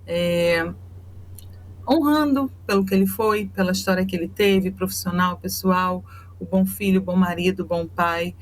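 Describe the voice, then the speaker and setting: A woman from Rio de Janeiro with a Carioca accent, a journalist guest speaking reflectively and tenderly, with frequent pauses. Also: lowish voice, slight background noise. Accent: Carioca Brazilian Portuguese. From Rio de Janeiro, Brazil. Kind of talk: personal interview.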